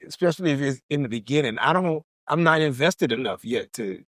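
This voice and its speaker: A man's voice: mid-range voice, lively intonation, fairly quick, fairly bright tone, dry recording, clean studio sound. Blunt comedian man in his forties. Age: 40s. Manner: blunt, slangy, comic. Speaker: guest comedian. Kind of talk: casual chat.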